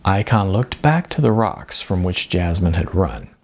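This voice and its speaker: A man narrating a scripted story as an audiobook reader, with a gravelly, husky voice. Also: deep voice, expressive, measured pace.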